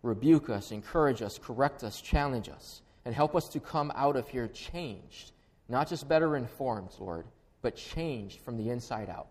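A middle-aged man from Hawaii with a Hawaiian accent, preaching a sermon as pastor in an earnest, measured way. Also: mid-range voice, lively intonation, darkish tone. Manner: earnest, measured preaching with a slow, deliberate prayer.

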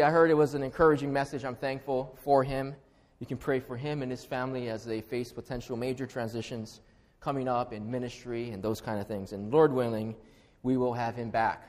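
A middle-aged man from Hawaii with a Hawaiian accent, preaching a sermon as pastor in an earnest, measured way. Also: medium pitch. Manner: earnest, measured preaching with a slow, deliberate prayer.

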